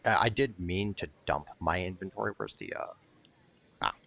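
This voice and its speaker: A man's voice: low-pitched, very animated, normal speed, gravelly, a bit bright. A man giving casual gameplay commentary in a rambling, wry, sometimes profane way. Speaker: gaming streamer.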